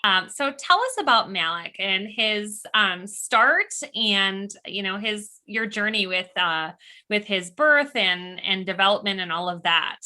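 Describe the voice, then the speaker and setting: A woman's voice: mid-range voice, lively intonation, measured pace, pushed and bright. A woman hosting a podcast, reading a scripted intro and then asking an interview guest questions with a few ums. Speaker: podcast host.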